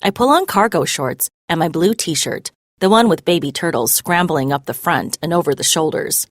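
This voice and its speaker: A woman narrating a children's story aloud in an expressive, measured way. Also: low voice, no echo, studio quality.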